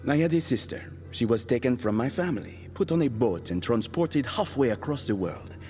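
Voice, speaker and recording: An actor playing an irritable, world-weary hired killer in an audio drama, dry, sarcastic and menacing. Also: male voice, mid-range voice, expressive, normal speed, warm tone, in a large hall, soft background music, close to an everyday voice. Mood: grave, guarded.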